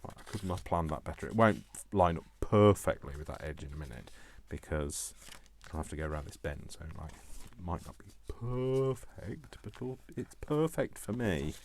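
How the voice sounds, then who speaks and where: A man's voice: low-pitched, expressive, moderate pace, hardly any pauses, darkish tone, a little hiss or hum. A British man hosting a casual craft tutorial, chatting in a rambling, jokey way as if to himself. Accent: British English.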